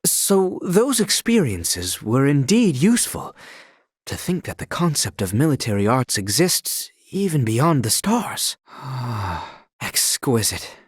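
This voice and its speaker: A proud, devoted young knight in a video game. His diction is lofty, formal and old-fashioned, with a stiff courtly bearing. The pitch is mid-range but swings widely, and the recording is dry and close. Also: very animated, slow, breathy edge, no echo, full character voice. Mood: awed, curious. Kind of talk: video game character voice lines, scripted archaic speech.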